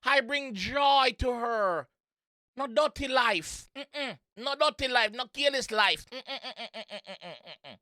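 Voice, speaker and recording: A Jamaican host reacting to music in a casual, excitable, playful way, repeating phrases and singing along. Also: medium pitch, slow pace, dry recording, clean studio sound. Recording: music playing in the background.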